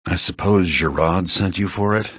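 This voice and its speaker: An actor playing an excitable, fussy male townsman and schemer in a fantasy video game, with a theatrical manner. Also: moderate pace, husky, no room sound, studio quality. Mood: sheepish. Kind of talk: video game character dialogue. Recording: low-bitrate game audio.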